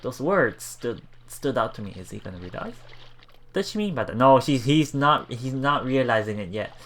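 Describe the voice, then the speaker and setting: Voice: medium pitch, animated, normal speed, a bit bright, slight background noise. A let's play host reading game text aloud with casual commentary, often stumbling and repeating words.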